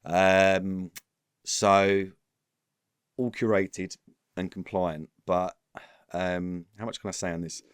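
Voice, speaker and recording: A man, a company executive guest on a podcast, talking in an animated, rambling way with frequent restarts. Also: low-pitched, takes it slowly, pauses often, smooth, no room sound, clean studio sound. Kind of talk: casual business interview.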